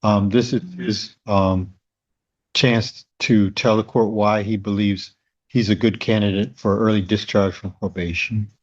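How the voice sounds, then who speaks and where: A man's voice: fairly low pitch, steady tone, a little slow. A man speaking as a defense attorney in court, recorded with dull, lo-fi sound. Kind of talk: spoken courtroom advocacy.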